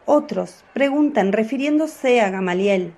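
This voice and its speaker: A woman narrates a radio drama at an unhurried pace, her voice a little high. Her storytelling is measured and clear. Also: expressive, a little mellow.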